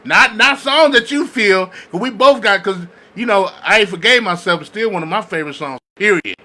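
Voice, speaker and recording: A man's voice: high-pitched, normal speed, pushed and bright. A man co-hosting a casual group podcast, arguing in a slangy, insistent way. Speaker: podcast co-host.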